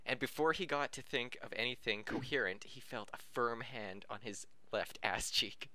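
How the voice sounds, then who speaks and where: Bright-voiced man reading aloud, close and dry. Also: mid-range voice, normal speed, dry recording. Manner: stumbles over words, self-correcting; amused, often breaking into laughter. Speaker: podcast reader. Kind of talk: reading fan fiction aloud.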